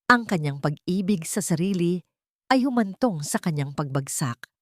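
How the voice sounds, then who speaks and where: A woman's voice: on the low side, lively intonation, moderate pace, fairly bright tone, no room sound, clean studio sound. A woman with a Filipino accent reading a scripted romantic drama as an audiobook narrator.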